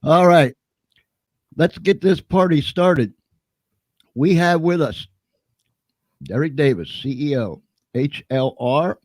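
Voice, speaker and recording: A man hosting a live interview show in an upbeat, energetic manner. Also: on the high side, takes it slowly, long pauses, no echo. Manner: upbeat, energetic host patter. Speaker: show host.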